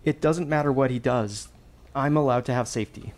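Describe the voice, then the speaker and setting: A man's voice: medium pitch, a little fast, a little mellow, close to an everyday voice. A man acting in a fiction podcast as a vulnerable, earnest character with a tentative way of speaking. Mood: guarded.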